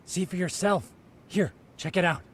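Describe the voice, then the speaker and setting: Actor as eager, higher-voiced tech-savvy associate. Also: male voice, moderate pace, slight background noise, close to an everyday voice. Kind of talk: English-dub video game cutscene acting.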